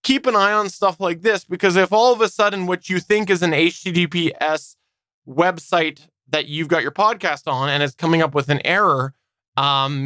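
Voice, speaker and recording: Bright-voiced man explaining on a podcast. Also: on the high side, clear, resonant tone, dry recording, studio quality. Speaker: podcast co-host. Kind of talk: casual explanatory podcast talk.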